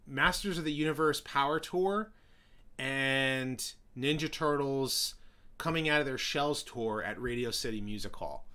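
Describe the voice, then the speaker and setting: A young man hosting a casual live stream, rambling with many fillers and restarts as he answers viewers, his voice getting hoarse. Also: medium pitch, measured pace, sharp tone. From New York City.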